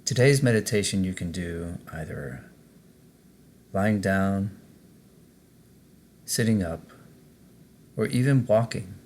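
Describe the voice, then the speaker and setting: Slow, deep-voiced man guiding a meditation. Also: a little mellow, slight background noise. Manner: measured, pausing between short phrases. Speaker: guided meditation host. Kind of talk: slow guided meditation narration.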